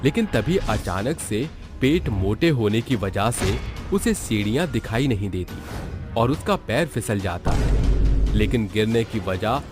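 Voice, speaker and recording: A man's voice: lowish voice, animated, measured pace. A man narrating a cartoon moral horror story in a dramatic, scripted storytelling style. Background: background music.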